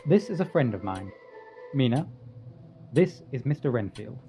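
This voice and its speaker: An actor playing a courteous, composed asylum doctor in a radio drama, speaking in a genteel, reassuring manner. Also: male voice, medium pitch, very animated, a little slow, warm tone, soft background music.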